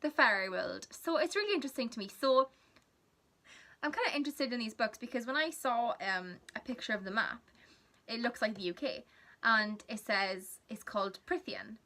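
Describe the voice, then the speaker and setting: A woman's voice: a little high, lively intonation, moderate pace, bright, forceful tone. A young woman from Wales with a Welsh accent, whose first language is Welsh, chatting casually in a rambling vlog with giggly asides. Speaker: vlogger.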